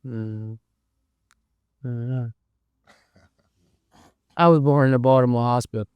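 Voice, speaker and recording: Brief: smooth, resonant DC man, laid-back and slangy, dry close mic. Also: mid-range voice, steady tone, unhurried, dry recording, lo-fi sound. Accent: Washington DC. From Southeast Washington DC. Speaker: rapper, guest. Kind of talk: casual interview chat.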